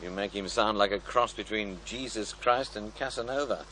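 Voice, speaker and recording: An actor playing a sceptical programme-maker in a radio drama, asking probing questions in a dry, blunt manner. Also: male voice, on the low side, normal speed, pushed and bright, slight background noise. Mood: dry scepticism. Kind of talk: naturalistic radio drama acting.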